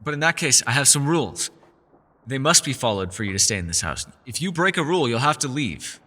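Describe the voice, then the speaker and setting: A man's voice: mid-range voice, very animated, fairly quick, bright, forceful tone, long reverb tail. A man playing a mysterious, scholarly elf in a fantasy roleplay, with a refined, theatrical and teasing manner. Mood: firm, serious. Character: mysterious, scholarly male elf, playful yet guarded. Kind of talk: fantasy ASMR roleplay, scripted character acting.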